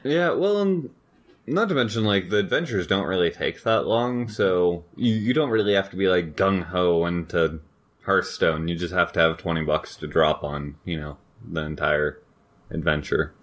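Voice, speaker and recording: A man's voice: low voice, moderate pace, a bit bright. A man co-hosting a gaming podcast, chatting about news in a wry, laid-back, informal way. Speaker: podcast co-host. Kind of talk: casual gaming news chat.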